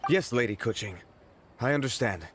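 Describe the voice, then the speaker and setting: A man's voice: mid-range voice, animated, normal speed, fairly bright tone, a little hiss or hum, lightly acted. A man playing a polite, flustered junior clerk in a video game, deferential and a little hesitant. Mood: obedient. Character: deferential, hurried male clerk, eager subordinate. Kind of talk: video game character acting.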